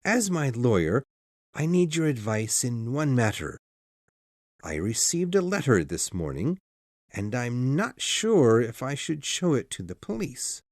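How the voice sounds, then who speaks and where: A man's voice: medium pitch, big pitch swings, takes it slowly, a little mellow, no room sound, studio quality, heavily acted. A man playing a pale, shaken doctor who speaks in a thin, weak voice, anxious and insistent. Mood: calmer. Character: pale shaken middle-aged male doctor, nervous. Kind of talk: audiobook dialogue.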